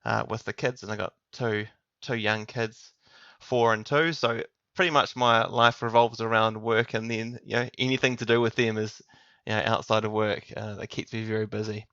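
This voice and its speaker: A man's voice: lowish voice, level tone, normal speed, pushed and bright, clear, resonant tone, dry recording, highs cut off. A man in his thirties with a New Zealand accent, chatting casually as a podcast guest. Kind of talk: casual interview chat.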